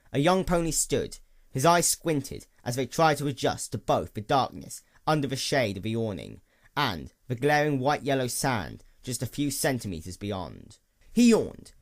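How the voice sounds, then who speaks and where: Male story narrator, animated pitch, expressive dramatic read. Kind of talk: dramatic scripted narration of fan fiction.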